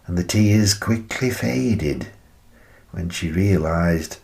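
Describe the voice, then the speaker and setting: A male actor playing a wistful retired teacher and grandfather in his sixties, telling his story in a reflective, measured radio play monologue with pauses between phrases. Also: low-pitched, gravelly, darkish tone, heavily acted.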